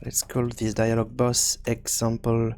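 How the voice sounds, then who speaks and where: A man presents a screencast tutorial at a slow pace. His pitch sits a little high for a man. He talks hesitantly, working things out aloud as he goes. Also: completely flat delivery, darkish tone. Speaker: video game programming tutorial host.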